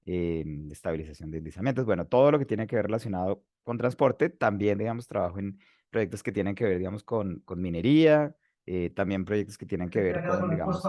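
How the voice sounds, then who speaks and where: A Colombian man in his forties with a Bogotá accent, speaking in a low voice on a webinar. He talks haltingly, filling pauses and restarting phrases. The sound is dry, with no room echo. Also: warm tone. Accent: Bogotá Colombian Spanish. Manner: hesitant, many fillers and restarts. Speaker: webinar speaker, geotechnical engineer. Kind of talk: webinar lecture.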